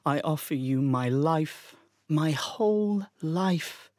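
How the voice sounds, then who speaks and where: A man's voice: on the high side, lively intonation, slow, darkish tone, no room sound, studio quality, voice pushed down. A man playing an ardent, devoted lover in an earnest, intense dramatic monologue. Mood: ardent, pleading.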